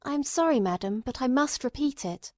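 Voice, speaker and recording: Courteous but insistent gentleman investigator, read by a woman: highish voice, formal questioning, dry storybook sound. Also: animated, fairly quick, no echo. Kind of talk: dramatic audiobook character reading.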